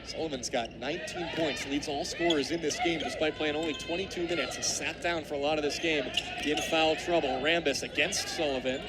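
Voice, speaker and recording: A man in his twenties calling live basketball play-by-play for radio, descriptive and animated, swelling on big plays. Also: a little high, fairly quick, hardly any pauses. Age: 20s. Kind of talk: live radio sports commentary. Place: courtside at basketball games. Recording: background noise of a live game.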